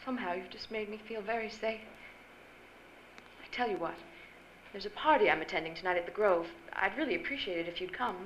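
A woman's voice: mid-range voice, a little fast, natural voice. A woman playing an elegant, confiding rich wife in a radio drama, with poised theatrical delivery. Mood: gracious. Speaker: actor. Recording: dull noisy live-stage recording.

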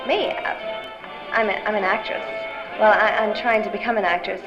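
A woman's voice: mid-range voice, steady tone, normal speed, natural voice. An American woman playing a polite, prim young aspiring actress who loves gossip, in a period radio drama. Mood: flustered, coy. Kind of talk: 1940s-style radio drama acting. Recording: dull lo-fi sound, loud music behind.

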